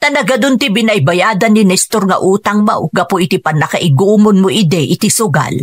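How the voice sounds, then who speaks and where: A woman playing a practical, worried older wife and mother in a radio drama, with an Ilocano accent and a husky voice. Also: mid-range voice, lively intonation, normal speed, dry recording, studio quality. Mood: chiding. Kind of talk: emotive radio drama acting.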